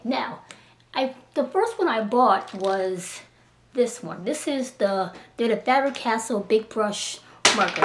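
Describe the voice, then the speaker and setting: Enthusiastic woman with a slight cold. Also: mid-range voice. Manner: enthusiastic, gushing, chatty with restarts. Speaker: art supply reviewer. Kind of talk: casual product demo. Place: small indoor room at home.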